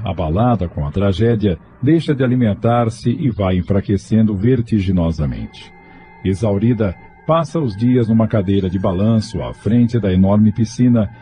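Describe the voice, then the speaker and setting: A man's voice: on the low side, moderate pace, mellow, soft background music. A Brazilian man giving scripted, dramatic narration for a radio soap opera.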